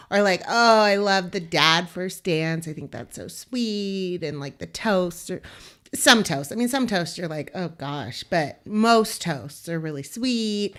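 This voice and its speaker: Woman guest, loud and bright, gushy talker, lots of "like". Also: mid-range voice. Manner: enthusiastic, gushing, filler-heavy "like". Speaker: wedding photographer, guest. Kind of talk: casual interview chat.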